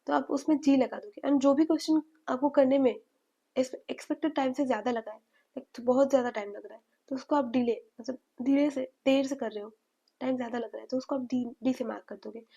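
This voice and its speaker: A young woman with a high yet mellow voice explains study tips in a hesitant, filler-heavy way. She sounds slightly hoarse from a cold. The recording is dull and lo-fi. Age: young adult. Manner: hesitant, mixes in English, many fillers. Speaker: medical student giving study advice. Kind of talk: casual advice to viewers.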